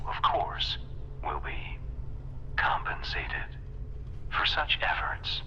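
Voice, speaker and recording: An actor playing a courtly, condescending artificial intelligence that runs a bunker, speaking formally and pompously as a scripted game character. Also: male voice, low voice, pushed and bright, lo-fi sound, exaggerated voice. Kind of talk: scripted video game dialogue.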